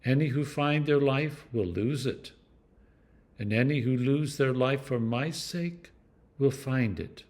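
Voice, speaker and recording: Male minister reading bible passages, solemn and measured, mid-pitched voice, careful phrasing. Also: a little mellow. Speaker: clergyman reading scripture.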